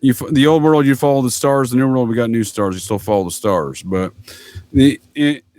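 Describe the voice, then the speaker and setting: A man's voice: mid-range voice, brisk, a little mellow, no echo. A middle-aged man in casual, opinionated podcast conversation, speaking emphatically and rambling, with free swearing. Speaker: podcast guest, retired military master sergeant.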